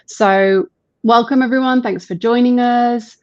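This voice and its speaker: A woman's voice: on the high side, steady tone, measured pace, no room sound, lo-fi sound. Upbeat woman hosting a live session. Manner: upbeat, chatty, laughs easily. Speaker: host and marketer. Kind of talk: live online interview.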